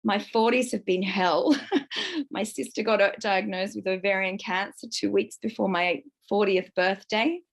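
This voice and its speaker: A woman in her fifties with a croaky voice, sharing raw, distressed feelings in a book club and swearing as she vents. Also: medium pitch, unhurried. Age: 50s. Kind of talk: emotional personal sharing.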